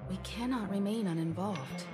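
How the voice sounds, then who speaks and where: A woman playing a calm, level-headed voice of reason in a video game, soft, soothing and measured. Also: medium pitch, lightly acted. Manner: measured, thoughtful. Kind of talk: scripted game dialogue. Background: game music.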